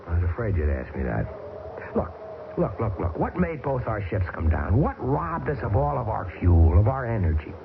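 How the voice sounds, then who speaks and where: A man's voice: on the low side, sing-song, moderate pace, creaky. An actor playing a wry, wisecracking American man, scared but determined, in a 1970s radio drama. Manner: wry, folksy banter. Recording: hissy old radio broadcast, tinny.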